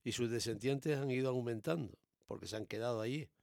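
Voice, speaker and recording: Gravelly Canary Islands man, explaining emphatically. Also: mid-range voice, brisk, darkish tone, no room sound, studio quality. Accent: Canary Islands Spanish. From born in Tenerife, raised in Gran Canaria, Canary Islands. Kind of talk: casual opinionated chat.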